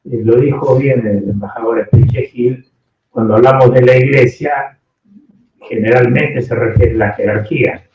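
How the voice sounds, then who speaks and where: A man giving earnest, emphatic and deliberate remarks as a panel participant. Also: medium pitch, fairly even intonation, darkish tone, slight background noise. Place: echoey indoor room at panel event. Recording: echoey room, distant mic, dull sound.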